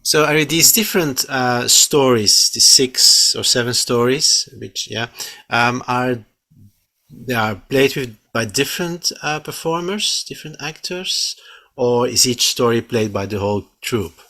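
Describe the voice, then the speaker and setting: Bright-voiced hesitant male co-host. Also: medium pitch, unhurried. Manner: hesitant, many fillers in questions. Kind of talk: casual interview chat.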